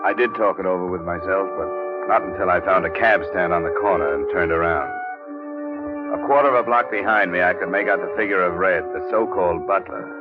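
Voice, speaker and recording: An actor playing a wry, unflappable hard-boiled private investigator with a dry manner in an old radio drama. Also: male voice, low-pitched, a little fast, close to an everyday voice. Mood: matter-of-fact narrating. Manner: dry, sardonic. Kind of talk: 1940s-50s radio detective acting and narration. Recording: muffled old radio recording with music.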